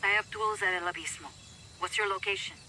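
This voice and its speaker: A woman's voice: medium pitch, steady tone, a little fast, pushed and bright, slight background noise. An actress playing an earnest, strong-willed young rebel woman in a game, direct. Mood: relieved. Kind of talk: video game cutscene acting.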